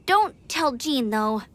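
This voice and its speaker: Casting: eager young female knight scout for a video game, perky, very high, animated delivery. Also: drawn-out words, full character voice. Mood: commiserating. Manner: perky, expressive, chatty. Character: bubbly, eager young woman knight scout and gliding champion. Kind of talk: English video game voice acting.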